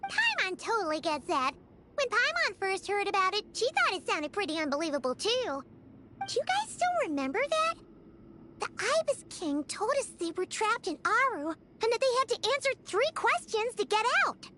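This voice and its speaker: A woman voicing a small, excitable, childlike fairy companion in a video game, chirpy and speaking of herself in the third person. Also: very high-pitched, normal speed, heavy background noise. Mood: musing.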